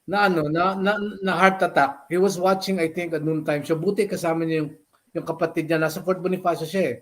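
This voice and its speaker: A man's voice: on the high side, fairly even intonation, fast talker. An older man with a Filipino accent, a radio veteran reminiscing casually in a mix of Tagalog and English, retelling conversations and repeating phrases. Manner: conversational storytelling with repeated phrases and acted-out quotes.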